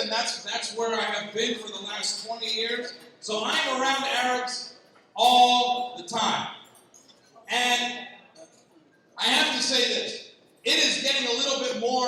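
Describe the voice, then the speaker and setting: A Palestinian man doing a lively stand-up set, teasing the crowd and jumping up in pitch when excited. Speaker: stand-up comedian. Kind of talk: stand-up comedy set at a gala. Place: large banquet hall. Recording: far-off mic in an echoey banquet hall with noise.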